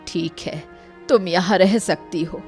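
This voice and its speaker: Woman voicing a slow-paced, weary old village woman. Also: fairly low pitch, darkish tone, soft background music. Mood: wary, kindly. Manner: slow, sighing, resigned. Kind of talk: Hindi moral-story cartoon dubbing.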